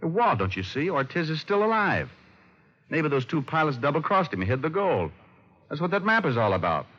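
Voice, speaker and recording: An actor playing a shrewd, confident private detective, wry and colloquial, in an old-time radio drama. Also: male voice, on the high side, very animated, a little fast, a bit bright. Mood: excited deduction. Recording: dull vintage radio recording.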